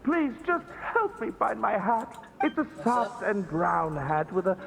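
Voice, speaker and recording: A man's voice: high voice, very animated, unhurried, creaky, exaggerated voice. An actor playing a timid, embarrassed man in a video game, politely and hesitantly pleading for help. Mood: sheepish, hesitant. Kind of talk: English video game voice acting.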